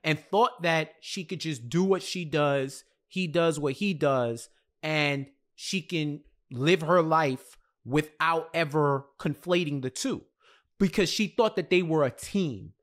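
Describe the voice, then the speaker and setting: A man's voice: fairly high pitch, takes it slowly, a bit bright. An American man in his thirties co-hosting a casual TV recap, emphatic and repeating phrases as he builds his point, close on a podcast mic. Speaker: podcast co-host. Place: small home studio indoors. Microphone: large podcast mic close up.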